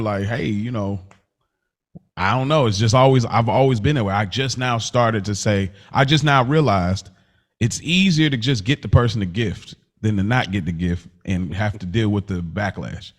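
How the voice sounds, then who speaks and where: A man's voice: fairly low pitch, normal speed. A male podcast co-host chatting in a slangy, informal way. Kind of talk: casual chat.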